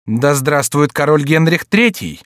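A man's voice: on the high side, lively intonation, measured pace, no echo, clean studio sound, voice pushed up. A man voicing a scheming, imperious queen mother in a storybook reading, with a commanding, emphatic delivery. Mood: triumphant.

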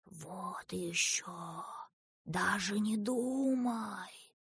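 Slow, whispering royal snake. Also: female voice, medium pitch, a bit bright, no echo, clean studio sound, heavily acted. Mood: dismissive. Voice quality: breathy, rustling whisper. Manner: slow, drawn-out. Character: old royal grass snake, hissing, grudging.

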